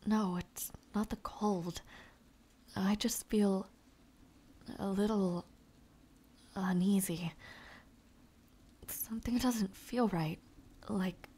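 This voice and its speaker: A young woman playing a gentle, shy noblewoman who aspires to knighthood, speaking softly and haltingly in an intimate audio roleplay. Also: medium pitch, slightly rough. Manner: soft, hesitant, halting speech.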